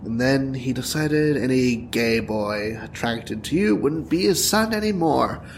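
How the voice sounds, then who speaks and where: A male actor plays a bitter young supervillain in an audio drama. He speaks slowly and tauntingly, with dramatic, very animated swings of pitch. Clear noise and ambience sit behind him. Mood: bitter, accusing. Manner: taunting, dramatic, profane, breaking into whispers and laughter. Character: bitter, wounded, theatrical young male supervillain with mind-control powers.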